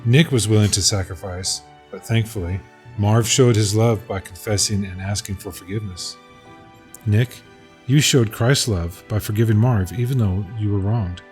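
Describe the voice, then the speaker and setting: A father narrates a home-made audio drama in a low, gentle voice, earnest and warm toward his sons. Soft music sits beneath his storytelling. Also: moderate pace.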